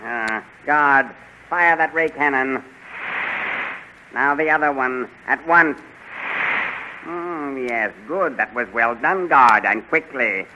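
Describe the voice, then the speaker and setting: An actor playing a haughty, suspicious usurper king in a 1930s radio adventure serial, giving imperious orders with musing hums and pauses. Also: mid-range voice, lightly acted. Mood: self-satisfied, commanding. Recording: muffled, noisy 1930s radio recording.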